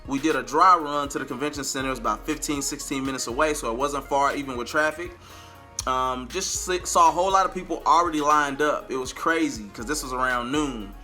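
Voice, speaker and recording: Man, American accent, bright forceful voice, casual slangy vlog talk, animated, faint music behind. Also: medium pitch, a little fast. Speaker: vlogger and amateur singer.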